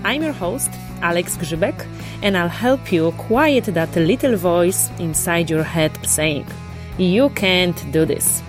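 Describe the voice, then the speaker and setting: A woman's voice: on the low side, expressive, slow pace, music in the background. A woman hosting a motivational podcast, talking in an enthusiastic, encouraging way. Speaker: podcast host.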